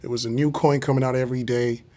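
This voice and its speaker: A man's voice: mid-range voice, little pitch movement, quick pace, a little mellow. A man in his forties from Los Angeles speaking as an interview guest in a casual, slangy, motivational way, often adding check-in tags. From Los Angeles, USA. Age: 40s. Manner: slangy, peppers talk with check-in phrases like tags.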